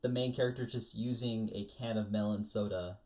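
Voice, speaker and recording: A man with an American accent in a casual panel chat about anime, retelling things in an animated way with acted-out quotes. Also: fairly low pitch, normal speed. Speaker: podcast panelist.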